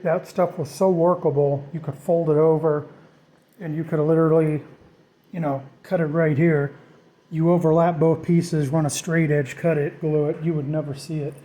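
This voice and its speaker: A middle-aged man with a fairly high but mellow, soft-edged voice talks through a DIY job. He is informal and self-deprecating, thinking aloud as he shows each step to viewers. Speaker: DIY vlogger. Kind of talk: casual hands-on tutorial narration.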